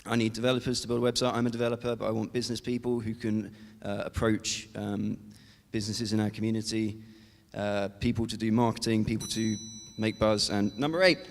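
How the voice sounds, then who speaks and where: Low-voiced man pitching with many fillers. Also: level tone, fairly quick, creaky, a little hiss or hum. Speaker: developer pitching an idea. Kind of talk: short startup pitch. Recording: long hall reverb.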